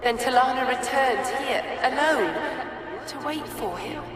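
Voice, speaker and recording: A woman voicing a sorrowful, dazed female spirit in a video game, speaking in halting fragments. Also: sits high, expressive, fairly bright tone, a faint crowd. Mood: haunted, remembering. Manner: halting, fragmented phrases with long pauses. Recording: echoey, long reverb, music underneath.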